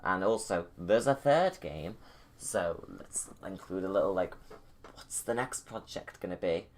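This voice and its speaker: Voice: low voice, lively intonation, a little slow, slight background noise. A video creator giving casual, rambling commentary on a film in an enthusiastic, gushing fan's manner. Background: music.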